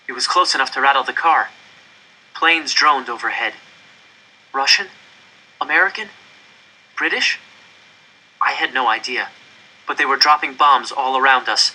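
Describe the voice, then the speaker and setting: A man reading a first-person story aloud in an expressive, deliberate, dramatic way. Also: mid-range voice, breathy edge, rough recording. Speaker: audiobook reader.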